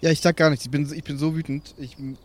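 A man's voice: fairly high pitch, little pitch movement, fast. A man playing in an improvised tabletop role-play, talking in casual banter. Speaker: role-play podcast player.

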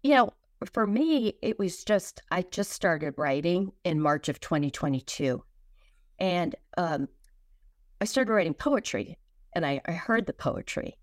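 Earnest woman with a lowish voice. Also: lively intonation, unhurried, dry recording, studio quality. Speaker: guest, children's book author. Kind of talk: conversational explanation in an interview.

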